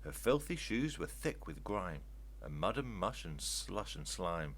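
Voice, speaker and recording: An older man reads rhyming verse aloud as children's storytelling, in an expressive, emphatic way. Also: deep voice, measured pace, husky. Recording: steady background noise with hum.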